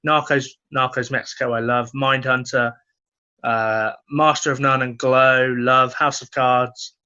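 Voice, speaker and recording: Bright-voiced man, slightly dull podcast recording. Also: mid-range voice, little pitch movement, measured pace, clean tone. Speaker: comedy podcast co-host. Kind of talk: casual comedic opinion chat.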